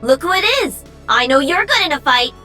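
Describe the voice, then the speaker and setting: A woman's voice: high-pitched, lively intonation, a little fast, bright, forceful tone, faint music behind. A woman voicing a perky, cocky young female pro-gamer mech pilot for a video game. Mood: excited. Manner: bubbly, cocky, teasing.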